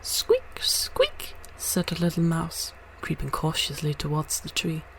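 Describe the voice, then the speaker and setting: Low-pitched woman reading a storybook. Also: little pitch movement, moderate pace, poor audio. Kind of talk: scripted storybook narration. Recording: noticeable background noise.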